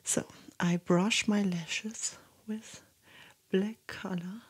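A woman's voice: mid-range voice, fairly even intonation. Young German woman whispering ASMR. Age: young adult. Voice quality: breathy whisper. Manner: gentle, slow whispering with soft spoken asides. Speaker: ASMR creator. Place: small dark indoor studio. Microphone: close studio binaural microphone.

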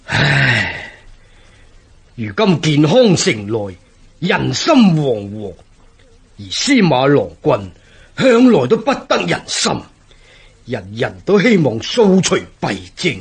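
Husky, blustering army general. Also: male voice, on the high side, slow, pauses often, exaggerated voice. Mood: grave, weary. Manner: declamatory, excitable. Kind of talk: Cantonese radio historical drama acting.

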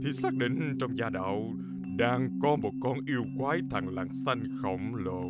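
An actor voicing a pompous, fanatical old exorcist in a cartoon, with melodramatic, archaic, declamatory delivery. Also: male voice, mid-range voice, unhurried, close to an everyday voice. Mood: solemn, grave. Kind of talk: motion comic cartoon acting. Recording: music under the voice.

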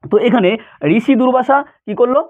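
A man's voice: very high-pitched, a little fast. A man teaching students informally, explaining a story conversationally with frequent check questions, in a thin phone-like recording.

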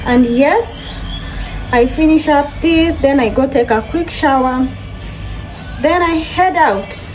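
A young woman talks unhurriedly to her phone camera in a bright home kitchen. Her voice sits high and she keeps addressing her viewers as guys. Quiet music plays softly underneath. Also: poor audio. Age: young adult. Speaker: vlogger. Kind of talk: casual vlog chat to camera. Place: modern home kitchen, indoors.

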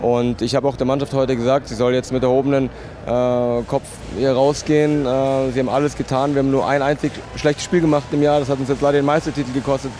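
A man's voice: mid-range voice, little pitch movement, fast, mellow. A man in his thirties giving an earnest interview answer outdoors into a handheld microphone. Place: outdoors in town. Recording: faint outdoor street noise.

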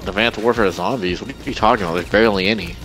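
Brash young male gamer, very low voice, quick talker, game music and gunfire behind. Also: a bit bright. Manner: brash, swearing, teasing. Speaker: channel host playing online shooter. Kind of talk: crude casual gaming chat.

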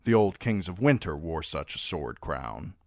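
A young king who gives orders in a firm, steady voice, as a man puts him on. The voice is deep and dips and rises a lot as he speaks. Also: measured pace, no room sound, clean studio sound, exaggerated voice. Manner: firm, commanding.

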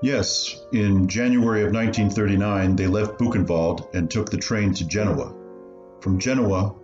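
A man playing an elderly refugee recounting his family's story in a radio play, deliberate and earnest. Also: lowish voice, darkish tone, close to an everyday voice. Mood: reflective. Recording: roomy, dull sound, piano underneath.

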